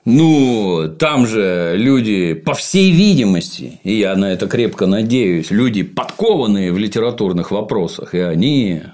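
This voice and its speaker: A man's voice: on the low side, very animated, measured pace, few pauses, darkish tone. A middle-aged man talking bluntly and sarcastically as host in a casual chat. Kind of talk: casual podcast chat, critical review.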